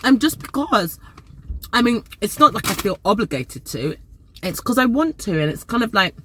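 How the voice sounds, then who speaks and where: A Nigerian-born woman in her forties, casually talking to camera in a chatty, animated way and repeating words for emphasis. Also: medium pitch, normal speed, a little hiss or hum, poor audio. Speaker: vlogger.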